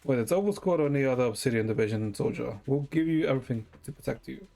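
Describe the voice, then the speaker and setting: Male game streamer, mid-range voice, casual and musing, reading story text over soft game music. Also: mid-range voice, expressive, rapid-fire, creaky. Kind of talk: casual gameplay commentary, reading story dialogue aloud. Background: video game music.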